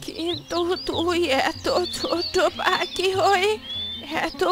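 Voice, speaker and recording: A kindly old grandmother bird, voiced by a woman for a children's cartoon. She speaks slowly and gently in a high, doting voice, with music underneath. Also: level tone. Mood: gentle, explaining. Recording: music behind the voice.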